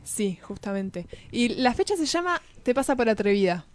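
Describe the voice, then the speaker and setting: A woman's voice: fairly high pitch, big pitch swings, moderate pace, dry recording. A woman with an Argentine accent hosting a radio show, interviewing in a casual, chatty style.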